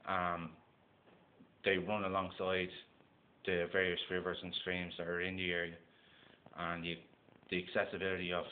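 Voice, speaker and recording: A man with a Dublin Irish accent, talking casually to camera in a halting way, with lots of fillers and restarts. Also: low-pitched, a little hiss or hum. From Dublin, Ireland. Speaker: gold prospecting vlogger. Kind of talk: casual instructional talk to camera. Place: indoors at a table. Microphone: phone camera. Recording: tinny lo-fi phone recording.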